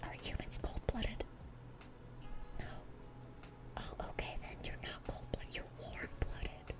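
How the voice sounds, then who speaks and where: A woman's voice: takes it slowly, lightly acted. A woman playing a dorky, eager young demon in a whispered ASMR roleplay, rambling sweetly and often repeating her words. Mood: curious.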